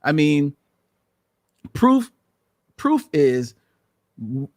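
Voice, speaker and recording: A man's voice: a little high, big pitch swings, drawn-out words, no room sound. A man hosting a podcast in casual chat, talking in long meandering sentences and laughing often. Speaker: podcast host. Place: room full of Batman memorabilia.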